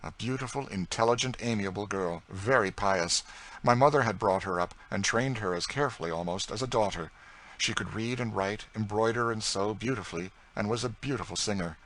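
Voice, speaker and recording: A man voicing a good-hearted, impulsive young gentleman from Kentucky in a storybook, frank, open and assured in manner. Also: lowish voice, a little fast, a little hiss or hum, lightly acted, low-quality recording. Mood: admiring.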